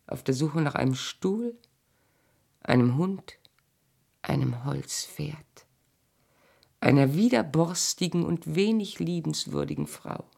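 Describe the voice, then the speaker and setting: An actress in a German radio drama playing a bitter yet tender aging wife of a homecoming hero. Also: female voice, deep voice, big pitch swings, slow, gravelly, pauses often, warm tone, full character voice. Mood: grave, knowing.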